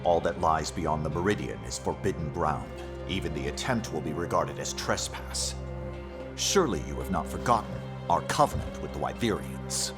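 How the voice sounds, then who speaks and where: An actor playing a stern, dignified older ruler in a fantasy game, speaking in a terse, regal, authoritative way. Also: male voice, extremely low pitch, lively intonation, moderate pace. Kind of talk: cinematic fantasy game acting. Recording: orchestral music behind.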